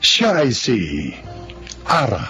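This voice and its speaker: A man's voice: mid-range voice, wildly swooping pitch, takes it slowly. A man narrating the scripted introduction to a radio drama. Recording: muffled radio sound, loud music bed.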